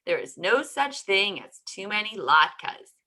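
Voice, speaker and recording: A woman's voice: mid-range voice, sing-song, takes it slowly, bright, forceful tone, heavily acted. A woman storyteller voicing a wise male rabbi who makes solemn, sage-like pronouncements in a folk tale. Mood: reassuring.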